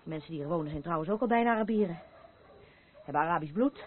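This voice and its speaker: An actress playing an intense, haunted woman in a Dutch radio drama, brooding, earnest and halting. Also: fairly low pitch, expressive, close to an everyday voice. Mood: earnest, determined. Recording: muffled, phone-like old radio play recording.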